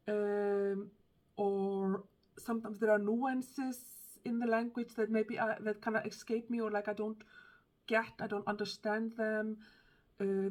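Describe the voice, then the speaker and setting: A woman from Iceland speaks English with an Icelandic accent, talking casually to the camera. She is thoughtful and hesitant, with many ums and false starts as she searches for her words. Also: on the high side. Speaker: book vlogger.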